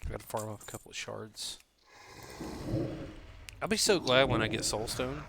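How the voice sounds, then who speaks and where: A man's voice: mid-range voice, expressive, normal speed, gravelly, background noise. A man in his late thirties streaming a game, chatting casually in a rambling way with lots of "like" and restarts, swearing freely. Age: 30s. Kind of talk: casual chat while gaming.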